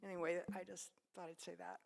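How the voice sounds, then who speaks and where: A woman's voice: fairly low pitch, level tone, quick pace, creaky, background noise. An older woman speaking up thoughtfully in a group discussion. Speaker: church class participant.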